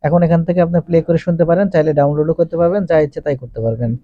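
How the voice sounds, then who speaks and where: Very fast-talking man, high-pitched. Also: no echo. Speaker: tech tutorial presenter. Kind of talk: step-by-step instructional voice-over. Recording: dull, lo-fi close recording.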